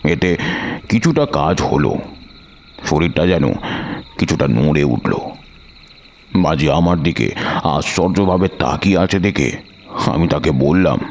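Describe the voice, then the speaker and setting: A man narrating a suspense story in a measured, literary and dramatic manner. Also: a bass voice, lively intonation. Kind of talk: dramatic scripted first-person story narration. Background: background music.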